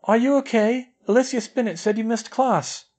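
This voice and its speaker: A man's voice: very high-pitched, normal speed, a little mellow, voice pushed up. A man voicing a caring teenage schoolgirl talking through a door in an audiobook reading. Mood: concerned.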